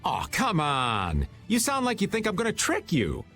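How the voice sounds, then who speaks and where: Sly jokey old rogue from an anime game, american, folksy n teasing, pitch all over the place, music behind. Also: male voice, huge pitch swings, moderate pace, fairly bright tone, heavily acted. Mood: wheedling. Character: sly, jokey, slouching older rogue of a man. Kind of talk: anime video game dub acting.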